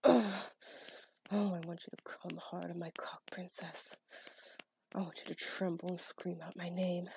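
A woman with a low, gravelly voice that often drops into a breathy whisper. She takes her time, drawing out each phrase in a teasing, commanding way. Faint noise hums underneath. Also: warm tone. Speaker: dominant partner in a scripted audio scene. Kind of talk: adult audio roleplay.

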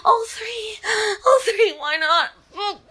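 Very high, slow, breathy young woman. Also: expressive, sharp tone, poor audio.

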